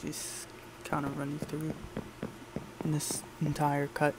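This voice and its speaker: A man's voice: on the high side, monotone, slow pace, noisy background. A man giving casual gameplay commentary in an offhand way, muttering to himself as he records an audio log. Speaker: gamer recording audio logs.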